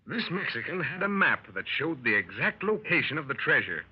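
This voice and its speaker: An actor playing a plucky gentleman adventurer, telling his exploits wryly in 1930s radio style. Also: a little high, fairly quick, slightly rough, heavily acted. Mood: eager storytelling. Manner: wry, animated storyteller. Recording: muffled 1930s radio broadcast.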